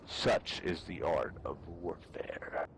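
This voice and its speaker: A man giving a solemn, deliberate dramatic reading of a military treatise, with pauses between phrases. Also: extremely low pitch, a little hiss or hum. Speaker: amateur reader performing for fellow gamers.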